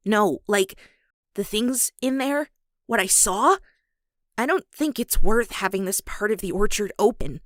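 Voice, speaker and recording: Woman playing a brash, animated tomboy pegasus. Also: medium pitch, a little slow, dry recording, studio quality, close to an everyday voice. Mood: shaken, serious. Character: brash young tomboy pegasus mare, cocky but shaken. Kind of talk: cartoon comic dub.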